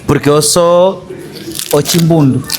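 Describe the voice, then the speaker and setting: A man's voice: fairly high pitch, takes it slowly, crowd noise. A man from Angola with an Angolan accent, speaking as an interview guest in an explanatory way, often rephrasing himself. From Angola, Bié. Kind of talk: conversational political interview.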